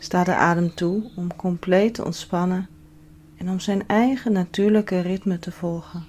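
A woman leading a guided relaxation as a yoga instructor, giving soothing, measured instructions with pauses. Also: on the low side, fairly even intonation, a little mellow, a little hiss or hum. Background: background music.

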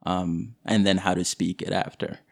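A young man from Kenya whose first language is Kizigua, a guest talking in a relaxed, unhurried way with many fillers. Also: sits low, vocal fry. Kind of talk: casual interview.

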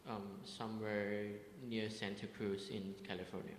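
A man from Malaysia introducing himself haltingly to a group. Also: on the low side, little pitch movement. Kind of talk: spoken self-introduction to a group. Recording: echoey hall, faint background noise.

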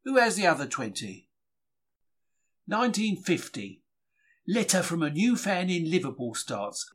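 A man reads diary entries aloud as a dramatic first-person account. His voice sits a little high and moves in lively swings, with an expressive, wry storytelling manner. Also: a little slow. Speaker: diary reader.

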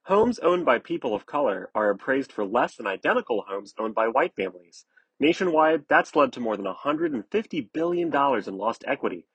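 A man's voice: medium pitch, sing-song, a little fast, husky, dry recording, rough recording. A man narrating a news article from a script as a reporter.

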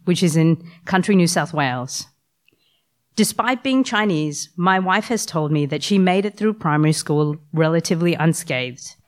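A woman, who has the flu, telling a personal story with wry humor to a live audience. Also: deep voice. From Australia. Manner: wry, self-deprecating humor.

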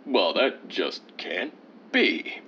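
An actor playing a pompous, easily indignant thespian husband in an old-time radio comedy, with a theatrical delivery. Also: male voice, low voice, big pitch swings, extremely slow, pushed and bright, a little hiss or hum, heavily acted. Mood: flustered. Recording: dull lo-fi old radio sound.

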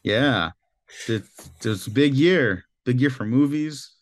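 A man hosting a podcast in casual chat, his voice a little raspy, rambling with lots of filler words. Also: mid-range voice, animated, takes it slowly, slight background noise. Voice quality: slightly raspy voice. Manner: chatty, loose, with many filler "like"s. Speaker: podcast host.

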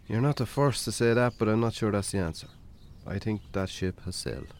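An actor with an Irish accent playing a stubborn, wry farmer in a radio drama, dry and offhand. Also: male voice, on the low side, fairly quick, slight background noise, natural voice. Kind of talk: naturalistic radio drama acting.

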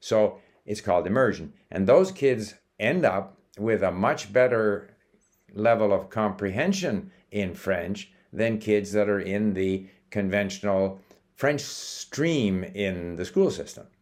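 An older man, born in Sweden and raised in Canada, hosts a language-learning video and explains things calmly, with small hesitations and restarts. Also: fairly low pitch, takes it slowly. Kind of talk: casual talking-to-camera monologue.

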